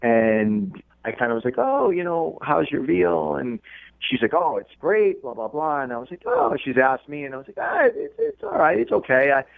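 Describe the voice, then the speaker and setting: A man's voice: fairly high pitch, very animated, moderate pace, gravelly. A man calling a radio show over the phone, casually recounting things in a defensive, hedging way. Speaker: radio call-in guest. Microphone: telephone. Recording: narrow tinny phone line.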